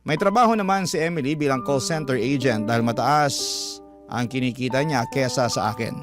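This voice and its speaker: An actor playing a struggling young Filipino man, narrating his troubles in measured, scripted radio drama style. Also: mid-range voice, lightly acted.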